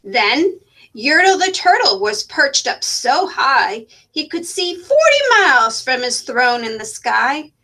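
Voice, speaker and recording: A woman narrates a children's story aloud. Her voice is high and bright, and she takes her time over the lines. The delivery is expressive, in the manner of a teacher reading to young children. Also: slow pace, poor audio.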